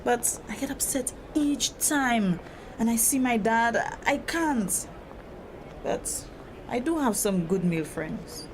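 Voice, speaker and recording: A woman acts out a troubled grown daughter in a drama, with a fairly high voice. She is earnest, confiding and hurt, as if she feels trapped. The sound is a noisy location recording with clear background ambience. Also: expressive, slow. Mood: anguished. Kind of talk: dramatic acted dialogue.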